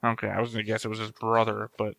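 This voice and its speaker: Low-voiced man musing over a show. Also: completely flat delivery, fast talker, gravelly, fairly bright tone. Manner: musing aloud, blunt, swears freely. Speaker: host of an anime reaction show. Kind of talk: casual reaction commentary.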